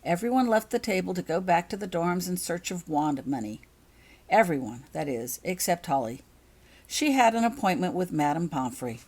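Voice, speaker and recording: A woman's voice: fairly low pitch, darkish tone. A woman narrating a story as its author, in an audiobook reading style.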